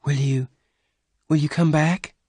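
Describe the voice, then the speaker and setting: A man voicing a gentle, lonely young woman who speaks softly and sadly. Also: fairly high pitch, steady tone, slow pace, slightly rough, dry recording, studio quality, voice pushed up. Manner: soft, sad.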